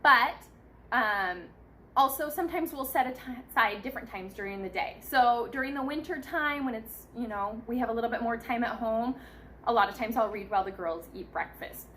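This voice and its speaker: A woman's voice: a little high, normal speed, a bit bright, a little hiss or hum. A woman in her thirties, a mother giving casual, encouraging tips in a chatty vlog style. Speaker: mother sharing parenting tips. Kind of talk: casual instructional vlog talk.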